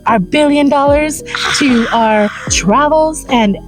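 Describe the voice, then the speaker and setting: A woman's voice: sits high, slow. Bubbly American woman chatting over music. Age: early 30s. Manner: bubbly, informal, slangy, slips into Spanish. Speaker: vlog co-host. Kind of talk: casual chat reminiscing with a friend. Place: outdoors in a park.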